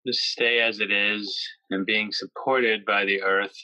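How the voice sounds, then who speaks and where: A man guiding a yoga nidra meditation, speaking slowly and gently with pauses between phrases. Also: on the low side, little pitch movement, no echo, dull audio. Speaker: meditation guide.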